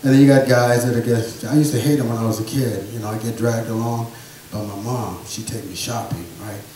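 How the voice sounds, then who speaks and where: A man telling rambling family stories in a stand-up set, with a soft voice he says he has. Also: mid-range voice, fairly even intonation, brisk, very echoey, far from the mic, slight background noise, poor audio. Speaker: stand-up comedian. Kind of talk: storytelling stand-up comedy. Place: small theatre or bar stage.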